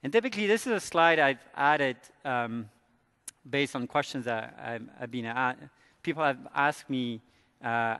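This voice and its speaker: A man's voice: medium pitch, a bit bright, in a large hall. A man from France with an American accent, giving a conference presentation in a casual, conversational way. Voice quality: fillers and restarts, conversational. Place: large conference hall.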